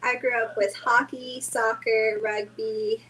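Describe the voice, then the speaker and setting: Soft-spoken woman in her twenties, high voice. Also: flat delivery, slow, low-quality recording. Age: 20s.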